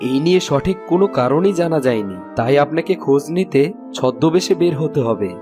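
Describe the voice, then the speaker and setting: A man's voice: on the high side, lively intonation, brisk, music in the background, natural voice. A man voicing an obedient army commander to a king in a Bangla cartoon. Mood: respectful. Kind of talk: Bangla cartoon fairy-tale voice acting.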